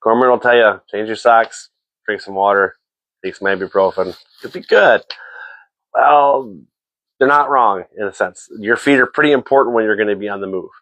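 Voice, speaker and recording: Stuffy low-voiced man, rambling. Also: moderate pace, a bit bright, no room sound, low-quality recording. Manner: rambling, repeats words, frequent ums. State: stuffy, slightly nasal. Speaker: host. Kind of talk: casual gear talk to camera.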